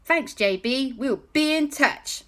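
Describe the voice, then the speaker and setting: A woman voicing a sensible aunt who asks calm, careful questions. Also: high-pitched, slow pace, pitched above the performer's own voice, full character voice. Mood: concerned. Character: sensible aunt leading a team.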